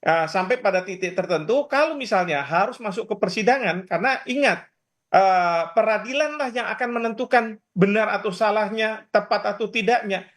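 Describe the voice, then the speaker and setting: A man giving expert analysis in an interview, explaining in a halting way. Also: high-pitched, fairly bright tone. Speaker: expert guest, professor.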